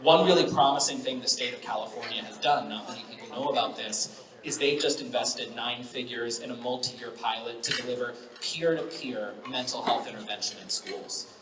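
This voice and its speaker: A man delivers an articulate panel talk in an echoey room, caught from a distance with audience noise around him. He uses rhetorical questions and emphatic phrasing, in a medium-pitched voice. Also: normal speed, crowd noise. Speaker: panelist, mental health advocate. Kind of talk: panel talk, prepared public speaking. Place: large event hall with audience.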